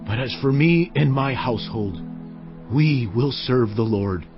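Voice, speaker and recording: An actor playing a stern, commanding Israelite leader, declaiming solemnly and emphatically in a dramatized audio Bible. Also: male voice, mid-range voice, a little slow, muffled recording, close to an everyday voice. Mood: urging, resolute. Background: music.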